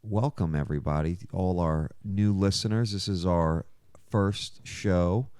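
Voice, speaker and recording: A man's voice: low voice, unhurried, darkish tone, clean tone, no echo. A man co-hosting a podcast, chatting casually as he welcomes listeners and plugs shows. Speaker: podcast co-host.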